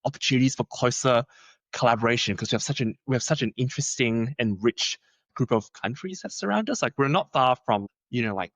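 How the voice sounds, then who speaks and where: A young man who is a researcher, talking in a chatty, animated way and filling his sentences with "like.". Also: a little fast, no echo. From Australia. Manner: chatty, many "like" fillers, lively swings in pitch. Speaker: researcher, podcast guest. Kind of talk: casual conversational interview.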